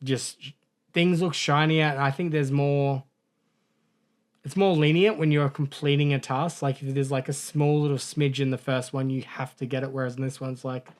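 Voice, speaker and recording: A man's voice: medium pitch, steady tone, moderate pace. A man co-hosting a gaming podcast, rambling through a story in a casual way, correcting himself and laughing at his own mishaps. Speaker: podcast co-host.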